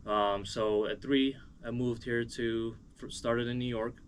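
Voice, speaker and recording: A man's voice: mid-range voice, little pitch movement, a little slow, a little hiss or hum. A man with an American accent giving a casual, rambling interview as a musician. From moved as a small child from Uzbekistan, raised in Cleveland, USA.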